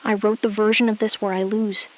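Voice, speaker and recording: A woman playing a resolute, shrewd astronaut in an audio drama, speaking in a controlled, deliberate way and repeating phrases for emphasis. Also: mid-range voice, level tone, close to an everyday voice, low-quality recording. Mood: quiet resolve. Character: resolute, shrewd female astronaut exposing a cover-up. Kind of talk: scripted audio drama, hushed dramatic phone-call acting.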